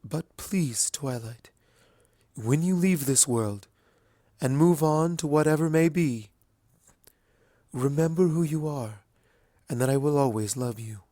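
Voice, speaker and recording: A man voicing a motherly, regal female pony mentor, speaking softly and solemnly with sorrow. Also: a little high, no room sound, studio quality, pitched above the performer's own voice, lightly acted. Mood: tender solemn. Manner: soft, solemn, measured.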